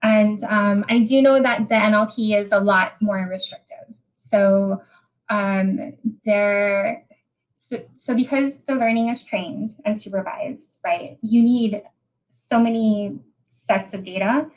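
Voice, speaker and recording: A woman from Hawaii with a Hawaiian accent, giving a technical webinar talk over a video call, often pausing and repeating words as she explains. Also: mid-range voice, low-quality recording. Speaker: presenter.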